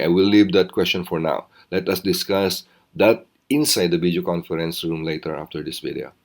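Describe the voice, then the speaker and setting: A man teaching physics in a recorded lesson, explaining step by step in a measured, instructional way. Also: deep voice.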